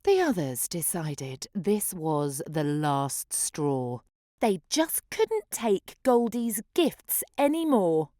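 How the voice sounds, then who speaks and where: A woman reads a children's picture book in rhyme, theatrical and rhythmic. Her pitch rises and falls widely and her pace is unhurried. The recording is very dry. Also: sing-song, warm tone, dry recording. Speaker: children's story reader. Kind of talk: expressive picture-book read-aloud.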